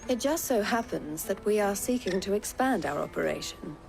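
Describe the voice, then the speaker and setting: An actress playing an assured, shrewd woman who leads a trading caravan in a fantasy game, speaking in formal, gently wry, measured phrases. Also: mid-range voice, lively intonation, creaky. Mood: assured, persuasive. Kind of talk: scripted fantasy game voice acting. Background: background music.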